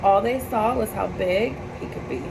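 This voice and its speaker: A woman's voice: mid-range voice, moderate pace. A woman reading a children's picture book aloud in a storybook narration style. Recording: clear steady background noise.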